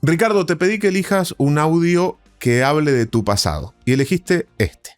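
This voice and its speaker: A man's voice: a little high, expressive, normal speed, faint music behind. A man hosting a podcast, asking questions in a conversational interview style.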